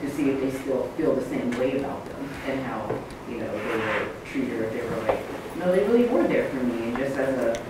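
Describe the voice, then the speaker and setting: A man's voice: medium pitch, animated, a little fast. Very echoey, distant man. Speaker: audience member. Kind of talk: informal audience comment. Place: audience in lecture room. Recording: very echoey room, distant, noisy.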